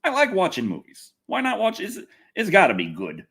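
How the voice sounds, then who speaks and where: A man's voice: high-pitched, wildly swooping pitch, normal speed, fairly bright tone, breathy edge. A man hosting a casual livestream, chatting to viewers in a loose, rambling way with some swearing. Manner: rambling, loose, expletive-laden. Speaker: livestream host.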